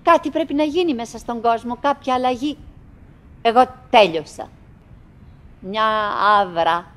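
An actress playing a wistful, chattering middle-aged wife in a theatrical radio monologue, in broken, repetitive phrases with dramatic pauses. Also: female voice, a little high, natural voice. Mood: weary, musing. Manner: fragmented, repetitive, dramatic pauses with sudden swells.

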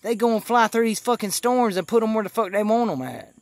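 A man's voice: high-pitched, expressive, fairly quick, no echo, clean studio sound. A man, tipsy and ranting in a drawling, profane, aggrieved way on his own live stream. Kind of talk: rambling profane rant.

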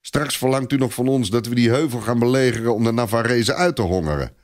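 A man's voice: mid-range voice, fast, pitched above the performer's own voice, natural voice. A man voicing a proud, anxious young count in a story, impatient and easily flustered.

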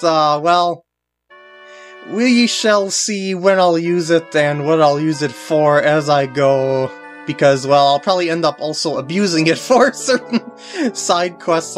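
A young man giving casual, unscripted walkthrough commentary in a halting way with wry asides. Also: fairly high pitch, faint music behind.

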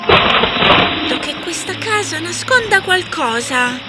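A woman's voice: very high-pitched, expressive, slow, over loud music, full character voice, low-quality recording. A woman playing an anxious, wary fairy in a fairy-tale cartoon, earnest and dramatic. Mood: puzzled, suspicious.

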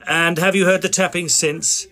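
An actor playing an inquisitive Edwardian gentleman ghost hunter, narrating and speaking in a formal British accent. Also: high-pitched, level tone, normal speed. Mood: pondering. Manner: genteel, formal. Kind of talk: dramatised storytelling.

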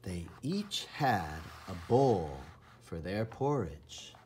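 A man reading a children's storybook aloud with enthusiastic, theatrical emphasis and dramatic pauses in a husky, breathy voice. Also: on the low side, very animated, darkish tone, slight background noise. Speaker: storybook reader. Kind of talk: children's read-aloud narration.

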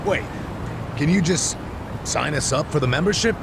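An actor playing a gruff, wry older ex-detective in a video game. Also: male voice, a little high, expressive, normal speed, background noise.

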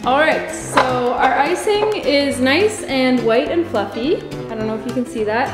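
Young woman showing how to bake, highish voice, steady and upbeat, echoey kitchen w/ music playing. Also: measured pace. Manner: instructive, upbeat, steady step-by-step explaining. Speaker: guest baker. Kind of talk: cooking demonstration. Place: large home kitchen.

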